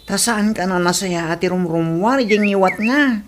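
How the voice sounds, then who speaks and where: A woman's voice: medium pitch, moderate pace, background noise, lightly acted. A woman acting a candidate's loyal aide in an Ilocano comedy radio drama, earnest and questioning.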